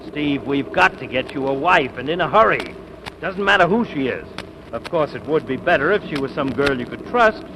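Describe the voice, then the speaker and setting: An actor playing a bridegroom's nervy, pushy, scheming pal in 1940s radio comedy style. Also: male voice, medium pitch, animated, moderate pace, lightly acted. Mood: urgent scheming. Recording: old radio broadcast with loud engine noise.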